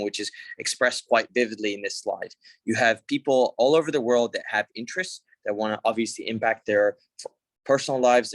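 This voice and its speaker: A man's voice: on the low side, dry recording. A young man from Hong Kong giving a casual conference talk over a video call, informal and full of fillers and restarts. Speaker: conference speaker. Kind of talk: casual online presentation.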